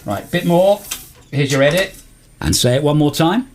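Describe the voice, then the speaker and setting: A man's voice: a little high, expressive, moderate pace, slight background noise. A man hosting a casual live radio show, chatting and explaining in a jovial, encouraging, matey way. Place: radio studio. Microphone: studio broadcast microphone.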